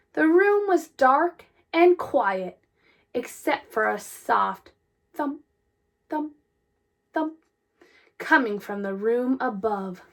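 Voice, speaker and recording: Casting: female picture-book narrator, high pitch, very animated intonation, expressive and deliberate. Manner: deliberate, expressive phrasing with emphasized words. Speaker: storybook reader. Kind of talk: children's read-aloud, scripted narration.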